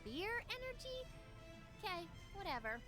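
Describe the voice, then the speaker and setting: Pushy flippant teen girl for an anime game, really high animated voice, music under it. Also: normal speed. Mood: flippant, doubtful. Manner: brash, flippant, insistent. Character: pushy, sassy teenage girl demanding answers. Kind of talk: anime-style video game voice acting.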